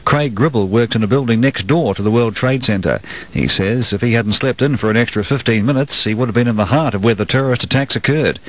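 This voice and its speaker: A man's voice: medium pitch, lively intonation, fast talker. A man with a New Zealand accent reads a scripted radio news bulletin as the newsreader.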